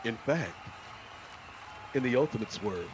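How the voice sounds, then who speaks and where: A man's voice: medium pitch, lively intonation, measured pace, crowd noise, close to an everyday voice. A man voicing a theatrical, reproachful moralizer in a comic audio play, on a muffled recording. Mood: solemn, reproachful. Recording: muffled, with faint background noise.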